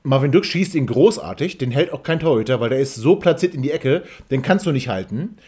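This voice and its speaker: Animated, husky-voiced man bantering. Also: mid-range voice, brisk. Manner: teasing, repeats phrases for emphasis. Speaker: podcast host. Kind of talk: casual football fan banter.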